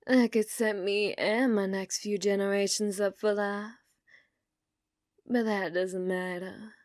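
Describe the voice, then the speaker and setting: Casting: actress as possessive yandere bounty hunter for ASMR roleplay; female, unhurried, sultry, dominant, slipping into whispers, close dry sound. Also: medium pitch, fairly even intonation, darkish tone, dry recording, lightly acted. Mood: tender, possessive. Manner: slow, taunting, sultry, often dropping into whispers.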